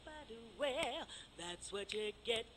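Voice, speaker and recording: High-pitched, slow woman doing a show jingle. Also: expressive, background noise. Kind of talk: rhyming show theme jingle.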